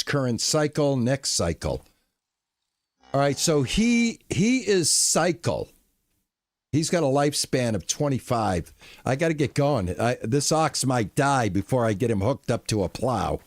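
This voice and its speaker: A man streaming a farming game live, chatting to his viewers in a relaxed, lulling way. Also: mid-range voice, unhurried, no echo. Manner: relaxed, chatty, talks to chat. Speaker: gaming streamer. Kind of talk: live gameplay commentary.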